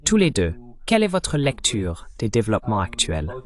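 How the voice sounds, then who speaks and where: A man's voice: fairly low pitch, huge pitch swings, moderate pace. A man delivering a French voice-over translation of an interview, speaking as an interpreter for the participants.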